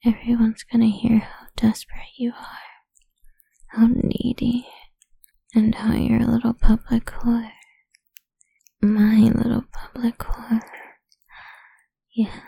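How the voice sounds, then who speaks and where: A woman's voice: a little high, monotone, takes it slowly, vocal fry, pauses often, warm tone, breathy edge, dry recording, low-quality recording. A woman performing an intimate audio roleplay, mostly whispering close to the microphone in a dominant, teasing manner.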